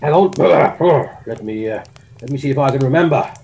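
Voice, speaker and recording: A man's voice: mid-range voice, expressive, moderate pace, vocal fry, a little mellow, a little hiss or hum. A man playing a bumbling, jolly old-school army colonel with an English accent and a stammering, old-fashioned upper-class manner in a comic audio drama. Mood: bumbling, amorous.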